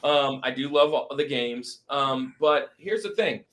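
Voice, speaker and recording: A man's voice: medium pitch, lively intonation, unhurried, fairly bright tone. A man hosting a movie ranking podcast on a video call, chatting casually and repeating phrases for emphasis with bro-style slang. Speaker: podcast host.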